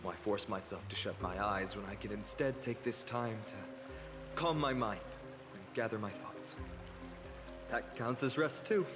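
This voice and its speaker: A man playing a restless, earnest young fantasy hero in a video game, speaking softly, politely and reflectively. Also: lowish voice, unhurried, lightly acted. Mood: pensive, restless. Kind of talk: video game character dialogue. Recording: hall reverb, clear music behind.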